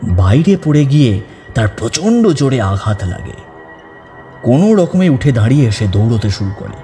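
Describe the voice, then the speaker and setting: A man's voice: medium pitch, very animated, moderate pace, warm tone. A man narrating a scripted horror story as an audiobook narrator. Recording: long reverb over background music.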